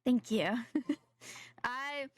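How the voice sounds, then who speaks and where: A woman's voice: sits high, very slow, no room sound, clean studio sound. A young adult woman streaming a game, chatting casually with viewers in a slightly nasal voice from a sore throat, rambling and correcting herself. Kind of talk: casual live-stream chat while gaming.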